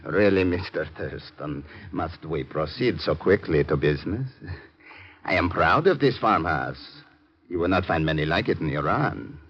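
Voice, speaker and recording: An actor playing a suave, menacing foreign spymaster with mock-courteous, formal speech in a 1950s radio drama. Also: male voice, low voice, expressive, moderate pace, slightly rough, heavily acted. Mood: smug courtesy. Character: suave, menacing foreign enemy spy chief. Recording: muffled, noisy old radio broadcast.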